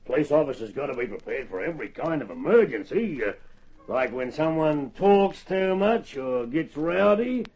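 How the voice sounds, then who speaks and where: Radio drama casting: sly rural bully feigning innocence, highish gravelly voice, folksy. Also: male voice, animated, normal speed, a little mellow. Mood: sly mock innocence. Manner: folksy, colloquial, mock-polite. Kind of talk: old-time radio drama acting. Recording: dull lo-fi old radio recording.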